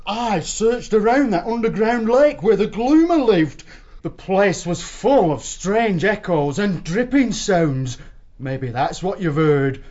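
An actor playing a bullying weasel captain in an audiobook, sly and falsely respectful with menace underneath. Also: male voice, high-pitched, sing-song, slow, a little mellow, highs cut off, exaggerated voice. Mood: sly, deferential. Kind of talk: full-cast audiobook acting.

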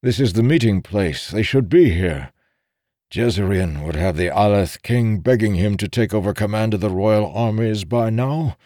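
A man's voice: on the low side, moderate pace, dark tone, dry recording, clean studio sound, voice pushed up, close to an everyday voice. A man voicing a weary, earnest soldier in an audiobook story, with a grave and formal manner. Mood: worried, earnest. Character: weary, earnest soldier, frustrated and doubted as madman.

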